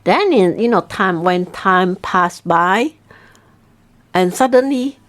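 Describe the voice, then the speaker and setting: A woman teacher telling a folk tale in a chatty, animated way, often checking in with her listeners. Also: medium pitch, slow pace. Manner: animated, chatty, frequent "okay?" check-ins. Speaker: Chinese teacher telling a story. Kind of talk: casual storytelling.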